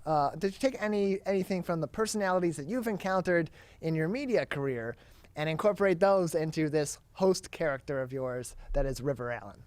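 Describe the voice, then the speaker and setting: A man's voice: a little high, a little fast, a little mellow, no room sound. A man hosting a broadcast interview, announcing details and asking questions with a polished announcer's delivery. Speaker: interview host.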